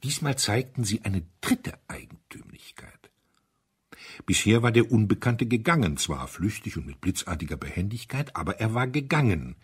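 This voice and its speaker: A man narrating a mystery story from a script in an expressive, dramatic storytelling manner. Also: fairly low pitch, normal speed, husky. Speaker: audiobook narrator.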